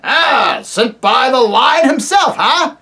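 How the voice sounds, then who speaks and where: A reader voicing a very old, deaf and irritable male dwarf who talks loudly and mishears words, in a storybook reading. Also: high-pitched, sing-song, takes it slowly, creaky, pitched above the performer's own voice, exaggerated voice. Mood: warm, stately. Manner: loud, testy, mishears words.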